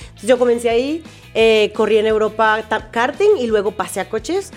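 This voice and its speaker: A woman's voice: fairly high pitch, lively intonation, moderate pace, soft background music. A woman from Medellín, Colombia, with a Colombian accent, explaining things enthusiastically as an interview guest, with frequent fillers. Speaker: car tester, guest. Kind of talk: casual interview chat.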